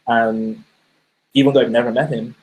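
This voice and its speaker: A man's voice: medium pitch, level tone, moderate pace, darkish tone, poor audio. A man with a Barbadian accent giving a live online presentation, talking casually and telling stories with frequent ums and uhs. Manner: conversational, storytelling with many fillers. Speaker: webinar presenter.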